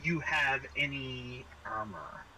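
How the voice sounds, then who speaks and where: A man's voice: mid-range voice, animated, slow, pushed and bright. A man narrating and refereeing a tabletop roleplaying game as game master. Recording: noisy room recording.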